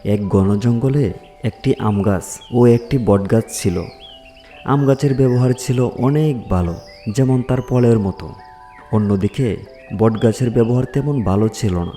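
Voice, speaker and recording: A man's voice: medium pitch, a little slow, dark tone, soft background music. A man narrating a scripted fairy tale for children as a storyteller.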